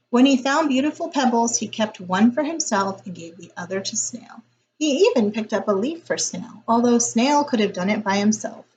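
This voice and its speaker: Lady reading a kids picture book, deliberate and expressive, lively pitch, bit of noise behind her. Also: rough recording. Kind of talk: expressive children's story read-aloud.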